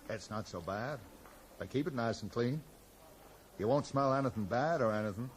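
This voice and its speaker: A man's voice: medium pitch, moderate pace, natural voice. A man playing a blunt, probing small-town American sheriff in a radio drama, speaking in a folksy, matter-of-fact way. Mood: dry, reassuring. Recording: noisy 1980s radio drama broadcast.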